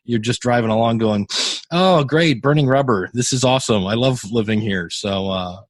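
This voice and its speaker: Male American podcast host, lowish voice, chatty solo delivery with self-deprecating asides, recorded dry. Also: moderate pace, dry recording. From Ohio, United States. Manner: rambling, self-deprecating asides, acts out quotes. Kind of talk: casual solo podcast talk.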